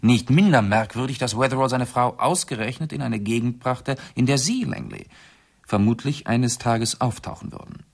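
A man acting as a shrewd gentleman sleuth in a radio drama. The voice is gravelly and slightly husky, at a middling pitch. He lays out his reasoning deliberately, with urbane composure. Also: lightly acted. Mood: analytical, composed. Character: shrewd English gentleman detective, methodically explaining his deductions. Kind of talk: radio play acting, 1970s.

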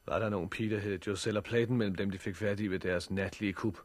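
A man's voice: lowish voice, steady tone, lightly acted. An actor playing a level-headed, defiant captive man in a 1960s radio drama, speaking with dry restraint. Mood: wary, dry.

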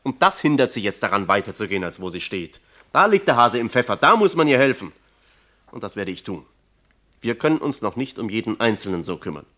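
Low-voiced, earnest factory official. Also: male voice, sing-song, fairly quick, bright, forceful tone. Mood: impatient, determined. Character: earnest, dutiful East German factory official, impatient but helpful. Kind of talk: German radio play acting.

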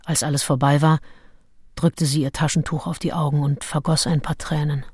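Husky, frank diarist seductress. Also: female voice, deep voice, flat delivery, normal speed, darkish tone, dry recording, studio quality. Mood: confiding, aroused. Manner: confiding, intimate. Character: frank, passionate early-1800s woman diarist and seductress. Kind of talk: radio play, intimate diary monologue.

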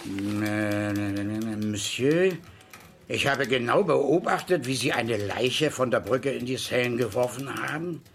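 A man's voice: medium pitch, takes it slowly, slight background noise. An actor playing a sarcastic, middle-aged police commissioner and blustering father in a German radio crime play. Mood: sardonic. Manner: sardonic, blustering, easily irritated.

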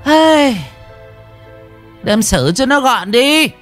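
A man's voice: on the high side, very animated, a little slow, fairly bright tone, faint music behind, voice pushed up. A man voicing a cruel old village mother-in-law who scolds with scorn and cackles slyly. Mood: cold.

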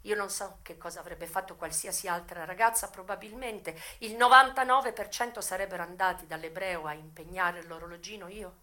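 A woman performing a theatrical first-person monologue for radio, expressive, with dramatic pauses and sudden swells. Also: fairly low pitch.

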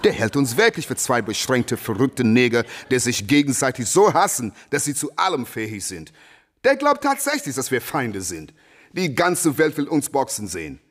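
An actor in a radio play playing a young, boastful heavyweight boxing champion who teases and declaims with cocky theatrical flair. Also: male voice, mid-range voice, big pitch swings, moderate pace, fairly bright tone. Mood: cocky, teasing. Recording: noisy, with crowd chatter behind.

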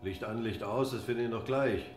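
An actor playing a cynical, cruel veteran hospital orderly in a radio drama, callous and sneering. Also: male voice, brisk, close to an everyday voice. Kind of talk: German radio drama acting. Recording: echoey room with low hum.

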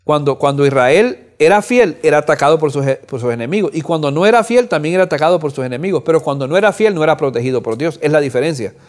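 A man's voice: a little high, animated, fast, few pauses. Man teaching a Bible lesson. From Cuba. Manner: earnest, repeats words while thinking, rising on emphasis. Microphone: audio recording.

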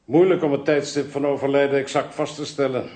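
An actor playing a put-upon, sardonic pathologist in a radio play, peevish and precise. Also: male voice, mid-range voice, fairly even intonation, fairly quick, darkish tone, lightly acted. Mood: clinical. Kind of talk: Dutch radio play acting.

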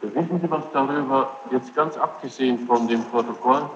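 A man's voice: medium pitch, moderate pace, a bit bright. Echoey courtroom tape, insistent male examiner. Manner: insistent, direct questioning. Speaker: courtroom examiner questioning a witness. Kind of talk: formal cross-examination. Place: large echoing courtroom. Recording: echoey hall, dull noisy archive tape.

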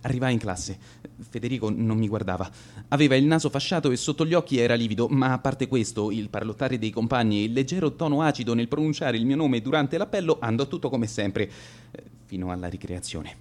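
Man acting an animated, swooping schoolboy storyteller. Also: mid-range voice, fairly quick, natural voice. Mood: wry, confiding. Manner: animated confessional storytelling with stammered hesitations. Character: cowardly teenage schoolboy boasting as an accidental bully. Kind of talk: radio theatre dramatic monologue.

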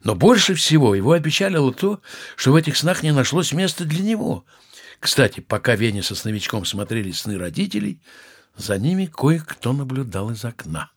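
A man playing a storyteller, narrating a children's dream tale expressively in an audio play, with a husky voice. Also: medium pitch, big pitch swings, moderate pace. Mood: intriguing, wistful.

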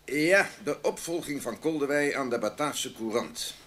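An actor playing an imposing, jovial business boss in a radio drama, expansive, commanding and ironically flattering. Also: male voice, mid-range voice, a little slow, a little hiss or hum. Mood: probing, needling. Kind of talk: naturalistic Dutch radio drama.

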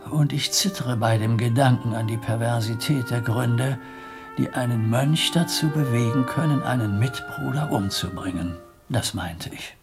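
A male actor plays a guarded, troubled abbot in a radio drama. His medium-pitched voice creaks with gravel as he speaks in solemn, formal and evasive phrases. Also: normal speed, over music, lightly acted. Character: worried, guarded abbot of a medieval monastery. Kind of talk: radio play acting.

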